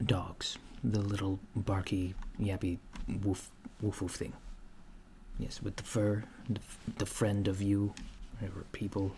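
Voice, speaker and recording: A man doing a soft-spoken ASMR roleplay as a curious, puzzled alien interviewer, speaking in a deliberate, halting, deadpan way. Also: fairly low pitch. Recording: clear background noise, keyboard typing. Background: computer keyboard typing.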